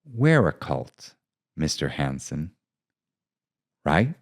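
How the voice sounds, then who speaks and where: A man's voice: very deep voice, big pitch swings, extremely slow, dry recording, clean studio sound, heavily acted. A man voicing a wry, needling soldier in a war story, with a drawling delivery. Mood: teasing.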